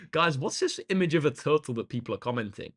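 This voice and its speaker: Casual male streamer, slightly croaky voice. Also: fairly high pitch. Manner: informal, appreciative, addresses viewers directly. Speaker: maths tutor live streamer. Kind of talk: casual livestream chat to viewers.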